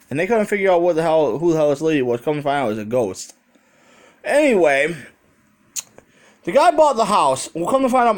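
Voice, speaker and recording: A man's voice: on the high side, darkish tone. An American man talking in a rambling, conversational way with casual swearing, telling a story as a vlogger into a handheld phone.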